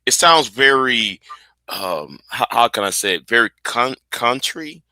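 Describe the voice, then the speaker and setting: A man from Texas with a Texan accent, hosting an interview and asking questions haltingly, with many restarts. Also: medium pitch, animated, sharp tone, no echo. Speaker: interview host. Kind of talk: casual interview.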